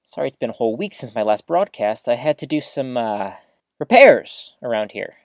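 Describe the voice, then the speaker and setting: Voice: mid-range voice, dry recording, clean studio sound. An actor playing a rambling, easily flustered small-town radio host giving a live broadcast in an audio drama, awkward and chatty. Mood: awkward, earnest. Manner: awkward, animated, throat-clearing, chatty.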